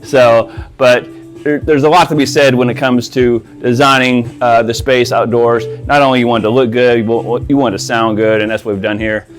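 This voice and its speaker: A man's voice: medium pitch, flat delivery, moderate pace, soft background music. A man presenting his audio installation work in a casual, enthusiastic walkthrough, talking informally to viewers outdoors. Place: outdoors by a pool and patio.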